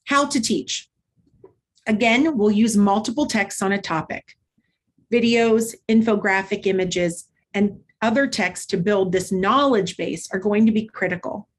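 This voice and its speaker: A woman instructor giving an instructional presentation, explaining in a clear, structured way with short measured phrases. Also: mid-range voice, slight background noise. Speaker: literacy instructor. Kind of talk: instructional presentation with modeled read-aloud.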